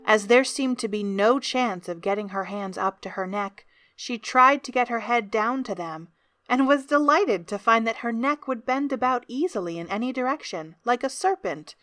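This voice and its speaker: A woman reading scripted storybook narration for an audio drama, as an expressive storyteller. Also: on the high side, normal speed, dry recording. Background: music.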